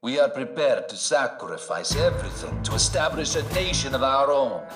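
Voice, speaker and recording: A man's voice: medium pitch, sing-song, normal speed, close to an everyday voice. A man playing a solemn militant leader making a grandiose, menacing proclamation of a new nation. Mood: solemn, triumphant. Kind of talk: theatrical televised proclamation. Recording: roomy echo, music behind.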